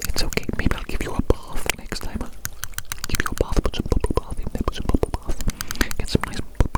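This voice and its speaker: A woman's voice: brisk, lightly acted, low-quality recording. Woman acting as mad evil doctor, whispered ASMR roleplay, grandiose yet fond, repetitive theatrical musing, formal wording. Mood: fond, intrigued.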